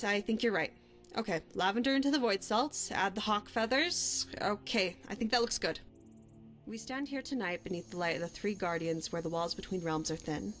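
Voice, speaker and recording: A woman's voice: medium pitch, fairly bright tone, natural voice. An actress voicing a young woman adventurer and hunter, a guilt-haunted but bold companion in a fantasy game, speaking in a natural, conversational way. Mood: confident, reassuring, then solemn, ritual. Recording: music under the voice.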